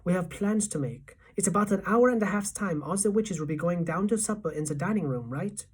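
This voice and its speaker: Woman doing a measured, wily old grandmother. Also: fairly low pitch, lively intonation, a little mellow, breathy edge. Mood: brisk.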